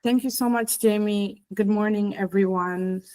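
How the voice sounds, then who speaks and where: A woman from Ethiopia speaking to an online audience over a video call, thoughtful and unhurried, with many pauses. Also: mid-range voice, steady tone, mellow. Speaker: guest speaker. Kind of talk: personal talk to a webinar audience.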